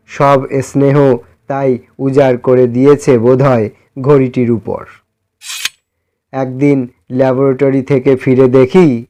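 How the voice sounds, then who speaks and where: Mellow, unhurried male storyteller. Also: medium pitch. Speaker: story narrator. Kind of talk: scripted first-person literary narration.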